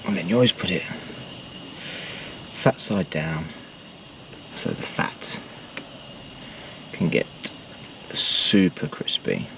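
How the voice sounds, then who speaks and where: Deep-voiced English man chatting in a van. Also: huge pitch swings, slow, gravelly, pauses often. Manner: chatty, self-mocking asides to viewers. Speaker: vanlife vlogger. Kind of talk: casual cooking vlog narration. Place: inside a small camper van. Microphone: camera mic across a small table. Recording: distant mic with loud background noise.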